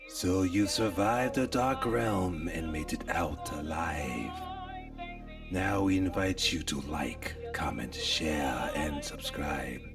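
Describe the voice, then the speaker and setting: Man voicing ghoulish horror host, bottomless low pitch, menacing showmanship, slightly distant mic, sinister storyteller. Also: over music, full character voice. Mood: gleefully sinister. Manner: slow, menacing, theatrical. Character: sinister ghoulish male horror host addressing his minions.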